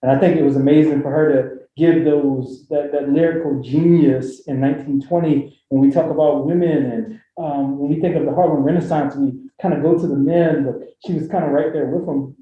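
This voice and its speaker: A man's voice: on the high side, steady tone, brisk, few pauses. A man from Philadelphia with a Philly accent, giving a joking, filler-heavy talk on a university panel with a handheld mic. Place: university forum room. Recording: echoey lecture room, dull sound.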